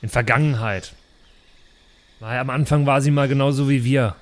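A man's voice: medium pitch, steady tone, normal speed. A man chatting casually as a player in an improvised tabletop role-play show.

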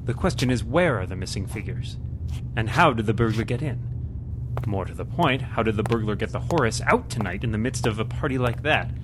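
An actor playing a pompous, mysterious crime-fighter in a melodramatic parody of old-time radio acting. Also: male voice, fairly low pitch, expressive, brisk, background noise, close to an everyday voice. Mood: brooding. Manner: melodramatic, grandly theatrical.

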